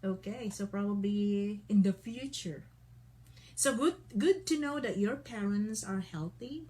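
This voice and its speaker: A woman's voice: mid-range voice, unhurried, warm tone, rough recording. A woman teaching an online English conversation class, patiently prompting and correcting her student.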